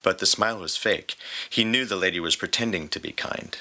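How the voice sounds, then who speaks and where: A man's voice: low voice, fairly quick, sharp tone. A man reading scripted story narration as the narrator. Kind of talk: scripted fan fiction narration.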